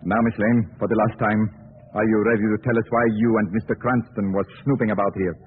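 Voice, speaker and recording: Man playing a fast-talking villainous professor on tinny radio. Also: lowish voice, level tone, lightly acted. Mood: coldly menacing. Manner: menacing, pompous. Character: villainous mad professor, ruthless, coldly courteous. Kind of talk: 1940s radio acting. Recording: tinny, noisy 1940s radio broadcast.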